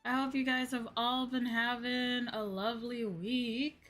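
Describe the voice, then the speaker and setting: A woman's voice: on the high side, little pitch movement, unhurried, a bit bright. A woman hosting a livestream, chatting casually and informally, repeating herself for emphasis. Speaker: VTuber streamer hosting a chat stream. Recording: background music behind voice. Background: music.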